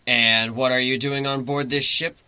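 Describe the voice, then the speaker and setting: A man voicing a brash, commanding griffin ship's captain in a story reading, loud, theatrical and taunting. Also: medium pitch, flat delivery, normal speed, smooth, no room sound. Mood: mock stern.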